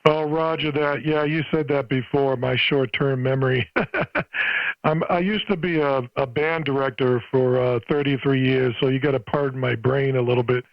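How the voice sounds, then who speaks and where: An older American man talks casually on amateur radio. His medium-pitched voice comes through dry, with the dull, limited sound of a radio transmission. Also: fairly even intonation, normal speed, dry recording. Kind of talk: casual ham radio chat. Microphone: radio microphone.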